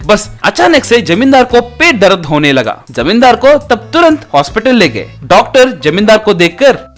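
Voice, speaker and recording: A man's voice: sits high, very animated, normal speed, faint music behind. A man narrating a scripted comic folk tale as a storyteller.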